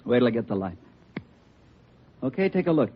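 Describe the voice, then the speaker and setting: Male forensic technician, husky, middle pitch, brisk, wry, radio drama, muffled old recording. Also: big pitch swings. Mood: businesslike. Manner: wry, businesslike. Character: male crime lab technician, wry, brisk, matter-of-fact. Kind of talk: 1950s radio police drama acting.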